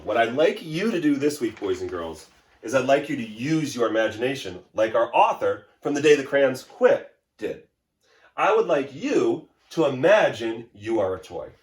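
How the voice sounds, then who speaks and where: A man speaking as a schoolteacher to children, reading a story aloud and explaining in an encouraging, instructive way. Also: medium pitch. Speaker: elementary school teacher. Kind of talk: read-aloud and lesson for children. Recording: roomy, echoey indoor sound.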